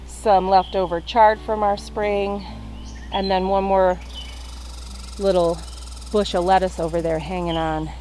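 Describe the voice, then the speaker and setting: A woman's voice: mid-range voice, little pitch movement, unhurried, long pauses, mellow, faint music behind. A young woman casually walking through her backyard vegetable garden outdoors, chatting as she points out plants to a handheld camera. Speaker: gardening vlogger.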